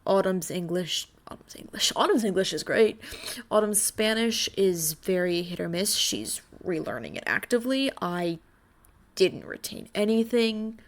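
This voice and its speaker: A woman talking casually and unscripted to viewers in a vlog, halting and pausing often. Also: medium pitch, animated. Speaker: vlogger.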